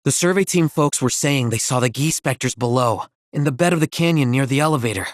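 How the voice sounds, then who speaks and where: A man's voice: mid-range voice, brisk, no echo, clean studio sound, lightly acted. A man playing a friendly, earnest town local in a video game. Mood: welcoming. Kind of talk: video game character acting.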